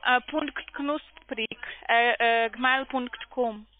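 A woman with a foreign accent working as a call centre agent, speaking haltingly and repeating things carefully. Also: on the high side, fairly even intonation, slight background noise. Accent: foreign accent in German. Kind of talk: phone conversation. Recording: dry narrow telephone line.

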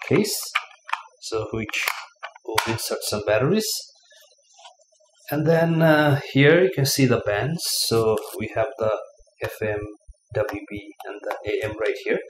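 Guy doing an unboxing in his living room, lots of uh and you know, roomy sound. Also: lowish voice. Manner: halting, many fillers like "uh" and "you know". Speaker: product reviewer. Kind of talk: casual unboxing review. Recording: echoey room, faint room noise.

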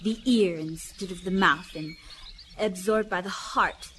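A woman playing a sick local woman in an audio drama, with a halting, deliberate, dramatic delivery. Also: lowish voice, a bit bright, a little hiss or hum. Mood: earnest, pondering. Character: sick local woman, pleading, then scornful and defiant.

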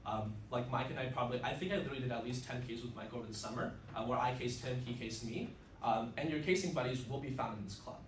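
A man's voice: medium pitch, fast talker. A young man whose first language is Russian gives a casual, upbeat student club presentation, full of fillers, recorded from across a classroom. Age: young adult. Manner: upbeat, chatty, many fillers. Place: classroom, indoors, mid-sized. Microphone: far camera. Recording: roomy, distant, faint ambient noise.

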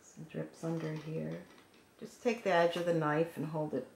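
Hoarse, low-voiced woman teaching a craft. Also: moderate pace. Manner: calm, gentle instructional talk while working. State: hoarse, froggy voice. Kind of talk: step-by-step craft tutorial. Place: craft table indoors. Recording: echoey room with faint noise.

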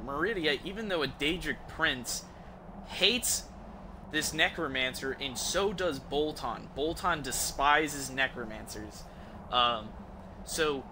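A man's voice: a little high, background noise. A man giving casual live commentary on a game he is streaming, crude and offhand. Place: small indoor room at home. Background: game music and sounds.

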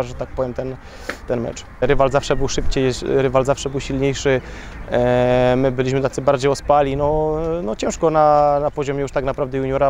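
Male coach, 30s, flat and hesitant delivery, outdoor pitchside, faint background noise. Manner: hesitant, many fillers, measured. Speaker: youth football coach. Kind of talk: post-match interview. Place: outdoors beside a football pitch.

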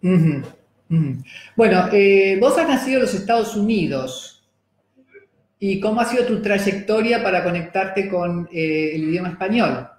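Middle-aged Argentine woman, interview host, mid-pitched, reverberant room sound. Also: expressive, unhurried, a little mellow. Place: room with wooden table and screen. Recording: roomy echo.

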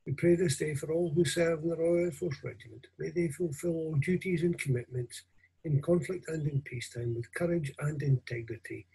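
An older man, a minister, reading formal prayers solemnly, reverently and deliberately. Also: fairly high pitch, creaky, mellow, slightly rough, rough recording. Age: 60s or older. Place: small room at home. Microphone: video call or webcam at desk distance.

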